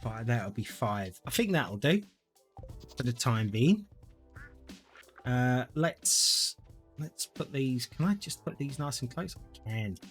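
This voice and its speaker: A man's voice: medium pitch, very animated, takes it slowly, long pauses, soft background music. A man, chatting casually and thinking aloud as he streams a game to viewers. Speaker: gaming streamer. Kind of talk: casual live-stream gameplay commentary.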